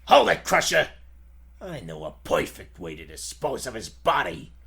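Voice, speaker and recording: A man playing a gruff, bullying, impatient gangster boss in 1940s radio style, with a gravelly voice and slangy, insulting tough talk. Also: on the low side, huge pitch swings, moderate pace, pushed and bright, slight background noise, full character voice. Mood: commanding.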